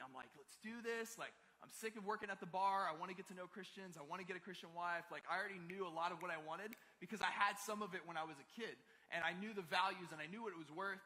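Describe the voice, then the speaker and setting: Guy from maryland preaching, slangy storytelling, bright voice, big echoey hall. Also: sits high, fast, hardly any pauses. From Maryland, United States. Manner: casual, slangy, animated storytelling with acted-out quotes. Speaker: pastor. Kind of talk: conversational sermon with personal stories. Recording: long reverb of a large hall.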